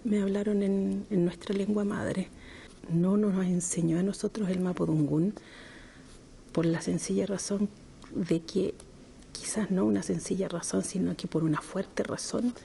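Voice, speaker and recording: A middle-aged woman from Chile, a poet answering interview questions slowly and thoughtfully, with frequent hesitations. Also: lowish voice, vocal fry, mellow, slight background noise.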